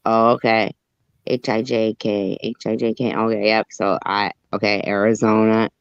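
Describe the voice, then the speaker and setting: A man's voice: on the low side, flat delivery, normal speed, gravelly, clear, resonant tone, dry recording, lo-fi sound. A man in casual chat who argues each point and spells out letters to prove it.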